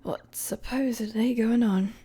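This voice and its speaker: A woman acting a slow-spoken, soft, weary queen. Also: a little high, fairly even intonation, breathy edge. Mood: worried, resolute. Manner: measured, hesitant, formal wording. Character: weary young queen, regal, guarded, firm with her friend. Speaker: audio roleplay performer. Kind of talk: dramatic audio roleplay, spoken to the listener.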